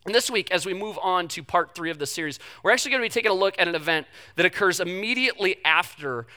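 A man's voice: high-pitched, animated, fast talker, pushed and bright. A man preaching a casual, conversational sermon to a congregation, chatty and joking with self-deprecating asides. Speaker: pastor. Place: church in front of a live congregation.

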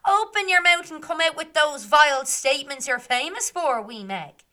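A woman's voice: medium pitch, big pitch swings, unhurried, bright, forceful tone, exaggerated voice. A woman voicing a band of small fairies who scold and give orders. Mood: commanding. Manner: scolding and commanding.

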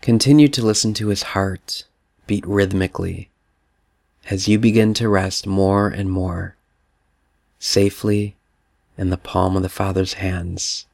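A man's voice: low-pitched, fairly even intonation, measured pace, creaky, darkish tone, no echo, studio quality. A man leading a guided prayer meditation, speaking soothingly to the listener in the second person.

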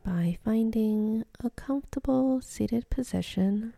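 A woman leading a guided meditation in a soft, breathy, half-whispered voice, calm and gentle, with long pauses between phrases. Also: fairly high pitch, no echo.

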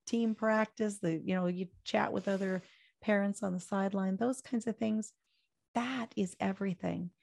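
Hoarse older lady radio host, gravelly fry in her voice, gets fired up and preachy then chatty. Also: medium pitch, darkish tone. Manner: fiery, preachy, chatty sign-off. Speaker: radio talk show host. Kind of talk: impassioned political talk show.

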